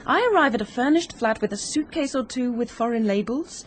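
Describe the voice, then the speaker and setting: An actress playing a bold, quick-witted young British woman in an old-fashioned radio mystery, brisk, frank and flippant. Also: a little high, animated, slight background noise, close to an everyday voice. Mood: confident, flippant. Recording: muffled vintage radio drama recording.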